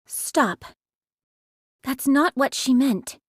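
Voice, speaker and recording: An actress voicing a compassionate, level-headed young woman soldier in a video game, soft and breathy, protective of others. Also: sits high, animated, extremely slow, no echo, clean studio sound, full character voice. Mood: calm, reproving. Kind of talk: dramatic game voice acting.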